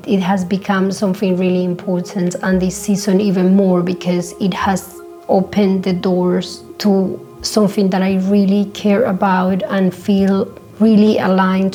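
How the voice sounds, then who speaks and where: Even-toned Spanish-accented woman, reflective. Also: mid-range voice. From born in Colombia, grew up in Spain. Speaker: podcast host. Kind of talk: personal solo podcast monologue.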